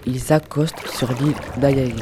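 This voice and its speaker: A man's voice: mid-range voice, steady tone, unhurried. Male storyteller with noisy backdrop. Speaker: narrator. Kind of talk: scripted storytelling narration.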